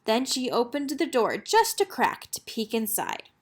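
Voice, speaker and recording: High-voiced, expressive woman storyteller. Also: measured pace. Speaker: read-aloud storyteller. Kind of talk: expressive children's picture book narration.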